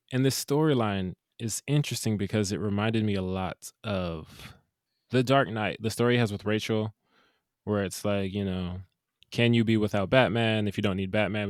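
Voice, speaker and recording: Lowish guy chatting movies on a podcast, jokey, dry sound. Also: no room sound. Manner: animated, jokey, quick asides. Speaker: podcast co-host. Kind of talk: casual movie review chat.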